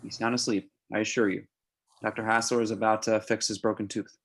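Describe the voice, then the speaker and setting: A middle-aged male veterinarian, acted by a man in a drama read, courteous and reassuring. His voice is low, and he guides gently, one step at a time. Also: little pitch movement, a little fast.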